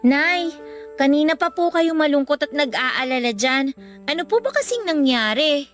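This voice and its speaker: A woman's voice: high-pitched, animated, normal speed, soft background music. A woman playing a caring, respectful school-age child in a Filipino radio drama, speaking earnestly and reassuringly. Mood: concerned, reassuring.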